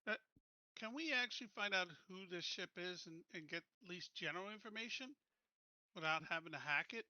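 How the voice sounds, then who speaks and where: A man's voice: high voice, brisk, pushed and bright, dry recording. A man talking casually as a tabletop game player, decisive and moving the group along, on a slightly dull close mic.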